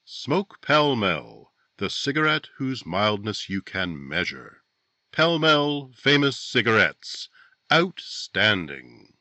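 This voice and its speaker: A man playing a grave, brooding narrator of a 1950s true-crime radio drama, speaking in a dramatic, deliberate way. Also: fairly low pitch, big pitch swings, sharp tone, no room sound, exaggerated voice. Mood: emphatic, selling.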